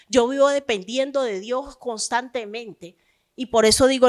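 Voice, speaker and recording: A woman pastor preaches with a slightly high voice. She tells her testimony in an easy, conversational way. Then she lifts into fervent, emphatic preaching about faith. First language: Spanish. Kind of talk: sermon with personal testimony.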